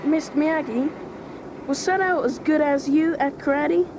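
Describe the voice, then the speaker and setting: A woman's voice: high-pitched, a little slow, noisy background. A woman playing an eager, inquisitive teenage boy in a dramatised read-along story, talking in a casual, blunt way. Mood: curious.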